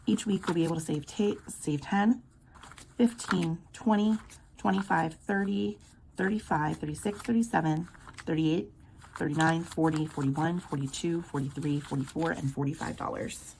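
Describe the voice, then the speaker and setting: Scratchy, low-voiced woman. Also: fairly even intonation, normal speed, vocal fry. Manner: quiet, murmured counting of bills. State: sore throat, scratchy voice. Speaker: budgeting vlogger. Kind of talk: casual cash-stuffing walkthrough.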